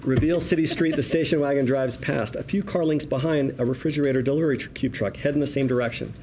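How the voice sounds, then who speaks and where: A man's voice: medium pitch, fast, a little mellow. Man reading screenplay stage directions. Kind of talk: scripted narration at a table read. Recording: table read room with background noise.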